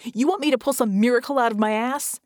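A woman voicing a blunt, exasperated male action hero in an audiobook, yelling emphatically with sarcasm. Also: fairly high pitch, quick pace, no echo, clean studio sound, voice pushed up, natural voice.

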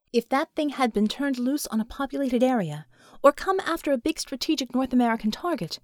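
A woman's voice: fairly high pitch, no room sound, studio quality. A woman reading a story aloud as scripted audiobook narration.

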